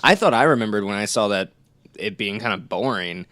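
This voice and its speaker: A man's voice: lowish voice, expressive, fairly quick, sharp tone, no room sound. A man co-hosting a podcast with casual comedic banter, jokey and giggly with a crude streak. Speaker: podcast co-host.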